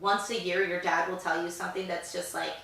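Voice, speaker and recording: A woman's voice: fairly low pitch, little pitch movement, brisk, vocal fry, fairly bright tone, very echoey, recorded from a distance. A woman in her twenties co-hosting a podcast, chatting in a rambling way with lots of fillers. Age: 20s. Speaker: podcast co-host. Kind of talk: casual chat.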